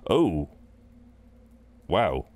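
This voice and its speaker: A man's voice: deep voice, sing-song, drawn-out words, darkish tone, exaggerated voice. A man playing a flirtatious, chatty incubus innkeeper in a roleplay audio drama, welcoming and rambling with hesitant asides. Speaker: innkeeper at a front desk.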